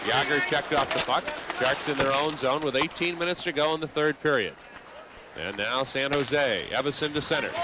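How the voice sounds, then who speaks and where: A man doing live radio play-by-play of a hockey game, wordy and wry, slipping conversational asides between the calls. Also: fairly high pitch. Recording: live arena broadcast with background noise.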